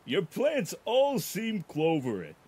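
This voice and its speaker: A man voicing a pun-loving, teasing cartoon dog with a husky voice and jokey patter. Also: high-pitched, wildly swooping pitch, slow, a little mellow, heavily acted. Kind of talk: cartoon character voice acting.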